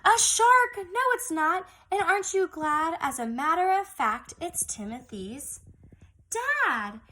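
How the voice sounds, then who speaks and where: A woman's voice: very high voice, very animated, takes it slowly, sharp tone. A woman teacher reading aloud to young children in an exaggerated, singsong storytelling manner, often putting questions to them.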